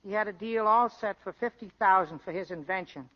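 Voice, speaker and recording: Casting: male actor as gentle, melancholy family man, subdued and hesitant, muffled vintage radio sound. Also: high voice, a little fast, lightly acted. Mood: downcast. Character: sad, kindly, long-suffering brother-in-law. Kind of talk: old-time radio acting. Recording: dull old radio broadcast.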